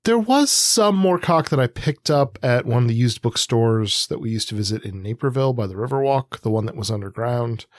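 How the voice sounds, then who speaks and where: An American man of medium pitch co-hosts a literary podcast. His delivery is informal and loosely phrased, like a chat about books. He is recorded dry, with little room sound. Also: expressive, brisk, hardly any pauses, no echo. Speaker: podcast co-host. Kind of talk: casual literary podcast discussion.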